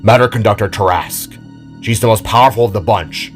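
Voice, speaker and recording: A man's voice: on the low side, fairly quick, faint music behind, lightly acted. A man voicing a commanding, formal metal-bodied elder-brother warrior in a fantasy audio drama, grave and authoritative.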